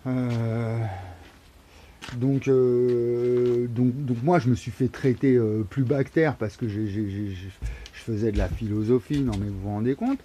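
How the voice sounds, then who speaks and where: A man's voice: medium pitch, steady tone, measured pace, mellow, lo-fi sound, slight background noise. A man, rambling to a phone camera in a wooden shed, repeating words and piling on fillers with sudden emphatic bursts. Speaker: vlogger. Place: wooden shed or barn, indoors. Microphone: phone camera held low at arm's length.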